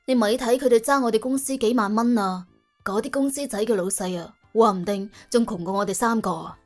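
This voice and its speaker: A woman's voice: on the high side, a little fast, faint music behind, close to an everyday voice. A woman voicing a streetwise, world-weary young female debt collector, sardonic and colloquial, in an audio drama. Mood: wry. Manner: colloquial, sardonic, exclamatory, argues with rhetorical questions. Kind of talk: audio drama character acting.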